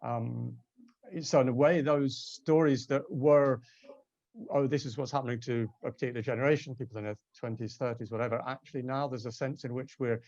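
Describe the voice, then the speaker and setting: A man's voice: mid-range voice, fairly even intonation, a little fast, a little mellow, no echo. A man speaking as a panel guest, a translator telling anecdotes in a wry, self-deprecating way. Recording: dull, dry online call audio.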